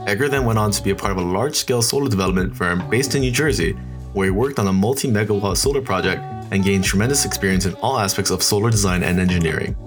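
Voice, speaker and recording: A man with a fairly low voice hosts a podcast and reads a smooth, prepared introduction. Music plays clearly under his voice. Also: quick pace. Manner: reads a polished introduction, then brief reactions. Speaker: podcast host. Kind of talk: scripted intro and casual interviewing.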